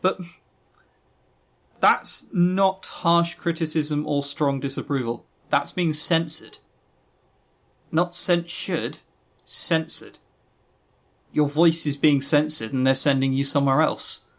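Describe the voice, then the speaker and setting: A man talks casually as a podcast co-host, his voice sitting a little higher than average. He is opinionated and leans hard on his points. His delivery rambles as he reviews and argues. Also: takes it slowly, long pauses, a bit bright. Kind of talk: casual review commentary on visual novels.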